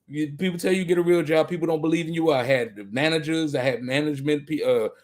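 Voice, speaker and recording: A man's voice: on the high side, fast talker. Thirty-year-old American man chatting in slang. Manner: slangy, emphatic, conversational. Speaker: podcast host and musician. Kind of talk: casual podcast chat.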